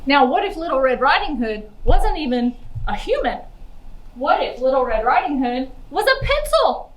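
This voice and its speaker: A woman's voice: sits high, sing-song, normal speed. A woman giving a chatty children's library book talk, using simple words and putting questions to young listeners. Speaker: library outreach puppeteer and presenter. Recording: roomy, echoey indoor sound.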